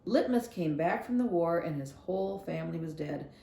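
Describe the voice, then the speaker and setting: A woman voicing an earnest, curious and stubborn young girl in a children's novel read-aloud, expressive and full of questions. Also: on the low side, moderate pace, gravelly, echoey room.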